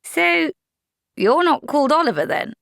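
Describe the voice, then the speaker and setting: A woman's voice: sits high, expressive, moderate pace, creaky, fairly bright tone, no room sound, studio quality, pitched above the performer's own voice, exaggerated voice. A woman reading aloud, voicing a polite, nervous young male army lieutenant in a storybook. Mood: bewildered.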